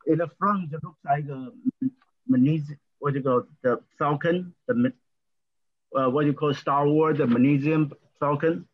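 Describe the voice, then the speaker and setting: A man from Taiwan with a Taiwanese accent, a guest talking in a halting, rambling way with lots of fillers and restarts. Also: fairly high pitch. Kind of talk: casual interview talk. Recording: dull lo-fi audio.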